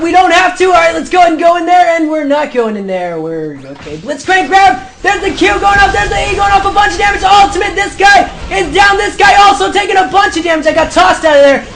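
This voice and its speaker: A young man commentating his own online match, shouting through the fights and calm in between, his voice going hoarse. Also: extremely high pitch, slight background noise. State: losing his voice. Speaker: gameplay commentator. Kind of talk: excited gameplay commentary.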